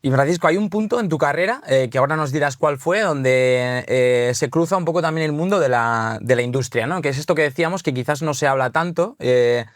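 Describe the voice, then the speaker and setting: A man's voice: fairly high pitch, fairly quick, few pauses, dry recording, studio quality. A man with a Basque accent co-hosting a podcast who asks friendly, leading questions and laughs easily. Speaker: podcast co-host. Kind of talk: casual podcast interview.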